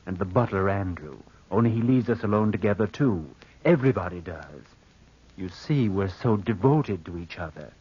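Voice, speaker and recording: A brooding young heir in an old radio melodrama. His lowish voice is husky, and he speaks in halting, theatrical phrases with a secretive air. The recording is dull and vintage. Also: expressive. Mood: brooding, haunted. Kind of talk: 1940s radio acting, confessional narration and dialogue.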